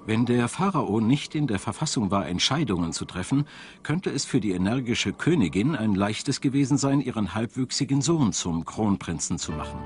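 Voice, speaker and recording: Lively-pitched male German documentary narrator. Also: normal speed, slight background noise. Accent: standard German. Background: background music.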